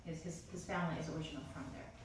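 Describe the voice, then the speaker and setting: A woman's voice: low voice, fairly even intonation, rapid-fire, recorded from a distance, very noisy. A woman teaching a class, encouraging and hesitant, with many fillers. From United States, East Coast. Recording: echoey classroom recorded from afar.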